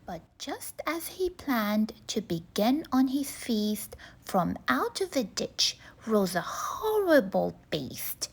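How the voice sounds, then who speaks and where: A woman's voice: on the high side, sing-song, slow, hardly any pauses, slightly rough. A woman reading a rhyming children's bedtime story aloud with dramatic, rhythmic phrasing.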